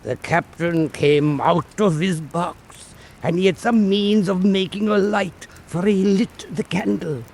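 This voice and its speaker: A man telling a folk tale as scripted narration, in a measured and dramatic manner. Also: high voice, darkish tone, a little hiss or hum. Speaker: storyteller.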